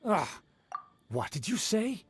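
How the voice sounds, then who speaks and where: A man's voice: high-pitched, big pitch swings, slow pace, a little hiss or hum, exaggerated voice. A man playing a haughty, indignant nobleman in a video game, speaking in a pompous, formal, disdainful manner. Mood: haughty, scornful. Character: haughty, indignant male aristocrat of a declining noble clan. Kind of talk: scripted video game character acting.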